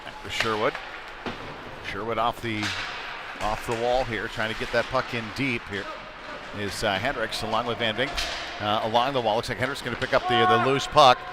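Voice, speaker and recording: A man giving conversational live play-by-play commentary of a youth hockey game, ready to break into excited calls. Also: medium pitch, moderate pace. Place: indoor ice rink during a game. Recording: clearly noisy sports rink behind voice.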